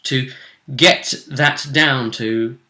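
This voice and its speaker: Bright-voiced man hosting a chatty discussion. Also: mid-range voice, steady tone, extremely slow. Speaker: host and game master. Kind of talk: casual sports-pundit style discussion of a role-play game.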